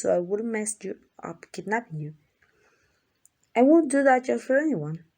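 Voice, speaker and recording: A woman's voice: medium pitch, creaky, a little mellow. A woman playing an obsessive, doting yandere kidnapper in an ASMR roleplay, gushing and coaxing with affection. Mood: tender, coaxing. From Mexico.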